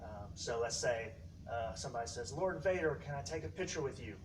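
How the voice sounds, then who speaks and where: A man casually demonstrating hobby gear as a video host, explaining in a halting, rambling way. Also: medium pitch, expressive. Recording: distant mic, faint background noise.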